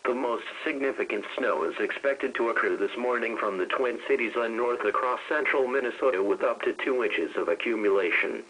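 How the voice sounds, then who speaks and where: A man's voice: mid-range voice, fairly quick, hardly any pauses, a bit bright, slight background noise, poor audio. A man with a synthetic-sounding American voice reads a scripted weather radio bulletin in a formal, automated manner.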